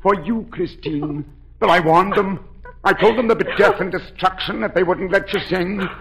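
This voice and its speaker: A man's voice: high-pitched, normal speed, gravelly, a bit bright. An actor playing an obsessed masked madman in a 1940s radio drama, coaxing and pleading with feverish intensity. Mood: intense, urging. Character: obsessed, masked madman luring a young woman underground. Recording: noisy old 1940s radio broadcast.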